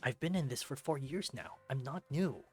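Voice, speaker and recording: A man's voice: medium pitch, lively intonation, moderate pace, slightly rough, soft background music. A man voicing an earnest young male superhero in a visual novel, eager and insistent in the way he talks.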